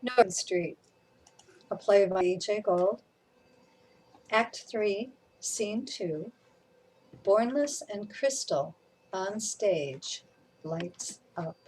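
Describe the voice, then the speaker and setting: A woman announcing stage directions for a play in a scripted read, with a breathy voice. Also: mid-range voice, expressive, extremely slow, long pauses, a little mellow, slight background noise, rough recording.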